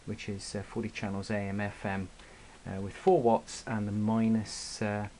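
A man's voice: fairly low pitch, level tone, moderate pace, mellow. A man giving a casual, hesitant, unscripted product review and demonstration as a hobbyist, recorded on a camera microphone indoors. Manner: hesitant, informal, unscripted delivery. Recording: hissy, noisy amateur camera recording.

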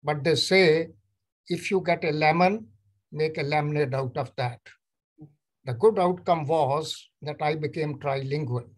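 An older man from Punjab whose first language is Punjabi, speaking Indian English and telling his life story in a measured, storytelling way over a video call from a room at home. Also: a little high. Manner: measured, storytelling, acts out quoted exchanges. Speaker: neurologist guest. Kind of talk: recounting life story in an interview.

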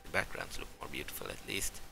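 Deep gravelly gamer. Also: male voice, big pitch swings, fast talker. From Sweden. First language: Swedish. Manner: rambling, hesitant with restarts, reads on-screen text aloud. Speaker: let's play gamer. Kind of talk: casual gameplay commentary. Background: game music.

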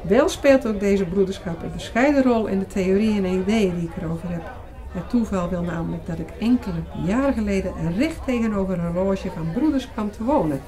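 An actress plays a self-assured young woman in an audio drama. Her voice is medium in pitch, poised and curious, as in an easy conversation. A murmur of café guests sits behind her. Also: expressive, a little fast, dark tone, some chatter behind, close to an everyday voice.

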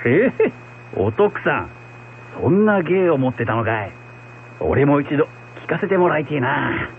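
Hard-drinking, friendly country packman played by a male actor, colloquial and animated, radio drama on a dull recording. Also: fairly high pitch, a little slow. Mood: curious, friendly. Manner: rough, folksy, colloquial. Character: good-natured, hard-drinking packhorse driver, rustic man. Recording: muffled radio recording with hum, faint noise.

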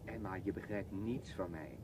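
An actor playing a sceptical intellectual teacher in a radio play, pedantic and dismissive. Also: male voice, low-pitched, moderate pace, noisy background, natural voice.

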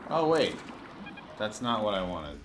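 A man's voice: on the low side, very animated, measured pace, background noise. A man casually commentating his VR gameplay at home, muttering wry, self-deprecating remarks over the game's sounds. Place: home room with VR headset. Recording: game music loud behind voice.

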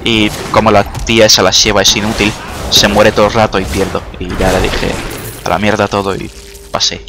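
Excitable young man over game music. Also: lowish voice, fairly quick. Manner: excitable, swearing, jokey. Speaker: gamer. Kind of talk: casual gameplay commentary. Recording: clear game music behind.

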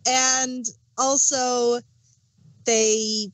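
A woman speaks as a panelist in a casual discussion. Her voice is fairly high, and the recording is very dry, with no room sound around her. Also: level tone, very slow, dry recording, rough recording. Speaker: review podcast panelist. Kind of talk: casual chat.

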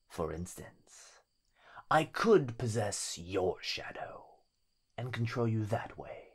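A man voicing a possessive, predatory living shadow in a yandere ASMR roleplay, husky and half-whispered, taunting with menacing, mock tenderness. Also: low voice, heavily acted. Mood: possessive, threatening. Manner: slow, menacing, mockingly tender.

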